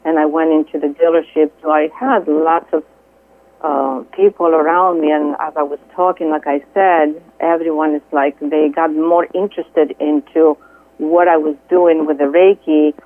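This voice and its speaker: A middle-aged woman from Greece with a Greek accent, a guest speaking earnestly and haltingly, with many fillers, over the phone. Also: sits low, fairly even intonation. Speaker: guest, Reiki healer. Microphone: telephone. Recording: tinny dry phone line.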